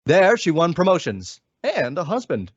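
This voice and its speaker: A man's voice: on the high side, huge pitch swings, moderate pace, breathy edge, no echo. A man reading a scripted old-time radio commercial as announcer, with a polished sales delivery. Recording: dull lo-fi radio-style recording.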